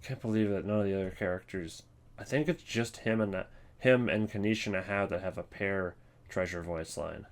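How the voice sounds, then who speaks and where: A man with a fairly low voice hosts a ranking in a casual way. He thinks aloud with hesitant, informal phrasing as he gives his commentary. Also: moderate pace. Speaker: host ranking game voice lines. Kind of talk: casual commentary on a tier list.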